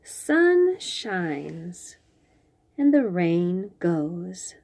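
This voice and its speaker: Slow, lively woman reading to children. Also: lowish voice. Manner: expressive, drawn-out reading with chatty asides. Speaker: children's read-aloud host. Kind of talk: picture book read-aloud for young children.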